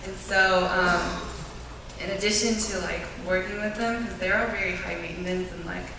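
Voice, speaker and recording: A woman's voice: lowish voice, moderate pace. Chatty young woman in an echoey hall. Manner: chatty, animated storytelling with many fillers. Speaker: youth group member sharing a mission trip. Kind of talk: informal testimony to a church group. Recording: echoey room, distant mic, clear background noise.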